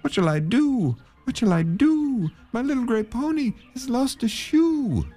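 A man's voice: high voice, wildly swooping pitch, moderate pace, mellow, soft background music, voice pushed up, heavily acted. A man voicing an anxious pony owner who pleads in a sing-song refrain. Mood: fretful pleading. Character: fretful man who lost his pony's shoe.

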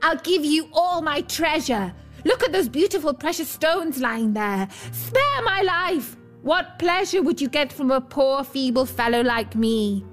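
A woman's voice: expressive, a little slow, hardly any pauses, soft background music. Woman voicing a screechy, ill-tempered little dwarf man. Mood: terrified, pleading. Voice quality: screeching, shrill. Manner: scolding, melodramatic exclamations. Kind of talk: fairy-tale storybook character voice.

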